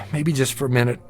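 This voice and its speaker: A man's voice: mid-range voice, pushed and bright. A man playing an exhausted, anxious thirty-five-year-old with hesitant, jittery speech in a radio drama.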